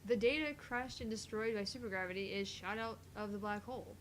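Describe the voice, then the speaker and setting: A woman's voice: medium pitch, brisk, slight background noise, poor audio. A woman doing an acted reading of dialogue from a visual novel game. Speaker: voice reader in a visual novel playthrough.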